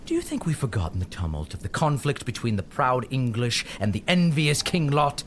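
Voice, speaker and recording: A bitter older knight of an audio drama, proud and contemptuous. The voice swings widely between theatrical bluster and low menace, with faint ambient noise behind it. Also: male voice, wildly swooping pitch, a little fast, a bit bright. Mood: menacing, contemptuous.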